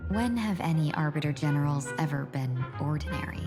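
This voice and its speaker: A woman voicing a composed, courteous game character with a measured, formal, gently teasing manner. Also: sits low, lively intonation, music in the background. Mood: wry. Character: composed, courteous woman, subordinate of a general, dryly playful. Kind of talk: video game voice acting.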